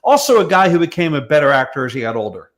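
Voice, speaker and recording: A man's voice: on the high side, very animated, fast talker. An American man talking casually as a knowledgeable guest commentator, wry and often stammering as he restarts his phrases. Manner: hesitant, stammering, wryly knowledgeable. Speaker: guest commentator on classic film and radio. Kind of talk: casual discussion.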